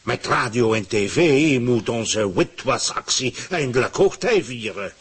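Pompous ruler, swooping, husky voice. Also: male voice, mid-range voice, animated, unhurried. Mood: imperious. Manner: imperious, dictating orders with blustering hesitations. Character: pompous, scheming male government ruler, autocratic and impatient. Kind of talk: satirical radio play acting.

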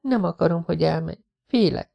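A man's voice: sits high, expressive, vocal fry, a little mellow, pitched above the performer's own voice. A man reading an audiobook, voicing a worried, fiery young woman who pleads with rising emotion. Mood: frightened. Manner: pleading, rising to near-hysterical.

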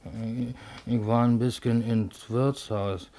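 Radio comedy: downhearted, boozy village official, very slow, halting delivery, meek and forgetful. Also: male voice, medium pitch, fairly even intonation, mellow. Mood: glum, tipsy. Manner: halting, plodding. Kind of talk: stage-comedy radio play acting in dialect.

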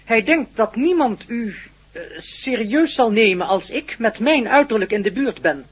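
A man's voice: very high-pitched, animated, measured pace, husky, heavily acted. A man playing a polite, candid alien in a radio play, speaking in a formal, matter-of-fact way. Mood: earnest, candid. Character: polite, candid alien being observing humankind.

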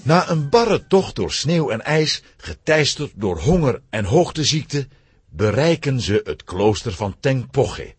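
A man narrating a scripted children's adventure story in an expressive storytelling manner. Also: mid-range voice, measured pace, husky, no room sound, soft background music.

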